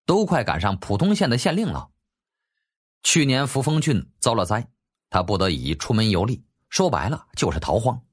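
Husky, animated male audiobook narrator. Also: on the low side. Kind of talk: dramatic scripted storytelling.

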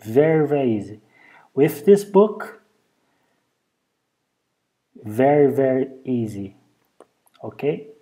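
A native Brazilian Portuguese speaker with a Brazilian Portuguese accent, speaking hesitant English with many pauses in a casual show-and-tell vlog recorded at home on a handheld camera. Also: mid-range voice, sing-song, dark tone. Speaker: vlogger. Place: indoors at home, over a bed.

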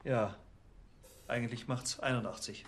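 Ein Schauspieler spielt einen plaudernden, selbstzufriedenen Heilpraktiker mittleren Alters in einer Krimikomödie, der mit neckenden Nachfragen redet. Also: male voice, medium pitch, fairly even intonation, measured pace, noisy background, lightly acted. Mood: breezy. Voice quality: chatty, cajoling, with teasing tag questions. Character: chatty male healer, middle-aged, breezy and smug. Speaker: alternative healer. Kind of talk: German TV crime comedy audio version.

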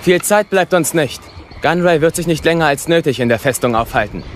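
A man's voice: a little high, a little fast, background noise, natural voice. A man playing a bold young Jedi knight in an audio drama, confident and teasing. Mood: confident, purposeful.

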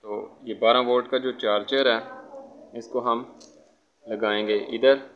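A man giving an informal hands-on tutorial as an electrician, explaining step by step and often checking with a quick okay. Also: mid-range voice, fairly even intonation. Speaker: electrician presenter. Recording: dull lo-fi phone audio, background noise.

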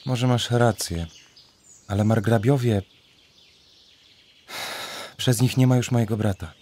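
A man's voice: mid-range voice, unhurried, warm tone, close to an everyday voice. A man acting a weary, devout medieval prince in a children's radio play, with solemn, declamatory delivery. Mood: troubled. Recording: reverberant hall, music behind.

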